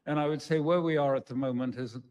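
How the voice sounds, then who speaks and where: A man speaking as an interview guest, giving political analysis in hesitant, deliberate phrasing. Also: a little high, steady tone, a little mellow, highs cut off. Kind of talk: interview commentary.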